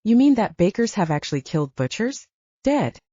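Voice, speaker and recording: A woman's voice: medium pitch, sing-song, moderate pace, mellow, slightly rough, dry recording, lo-fi sound. A woman voicing an anxious, curious young heroine with an earnest, questioning manner in an audiobook. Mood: worried.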